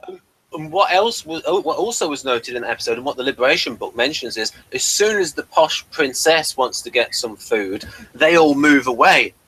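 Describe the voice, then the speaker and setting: A man's voice: mid-range voice, animated, normal speed, pushed and bright, husky, low-quality recording. A man chatting casually as a co-host, often repeating words as he stammers along. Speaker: podcast co-host reviewing a TV series. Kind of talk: casual chat.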